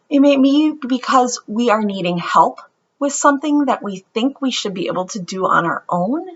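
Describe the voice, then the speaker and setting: A woman introducing a picture book to young children, speaking in simple, deliberate phrases as a read-aloud storyteller. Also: a little high, a bit bright, dull audio.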